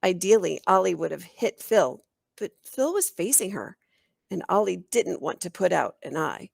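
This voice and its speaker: A woman reading a children's novel aloud as an expressive storyteller, in the manner of a teacher. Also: on the low side, moderate pace, creaky, breathy edge, no room sound, clean studio sound. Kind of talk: children's audiobook narration.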